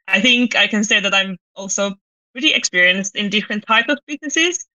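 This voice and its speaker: A woman from Finland with a Finnish accent, a company founder speaking as a podcast guest and pausing to find her words. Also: medium pitch, lively intonation, pushed and bright, low-quality recording. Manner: thoughtful, searching for words, light laughs. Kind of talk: podcast interview.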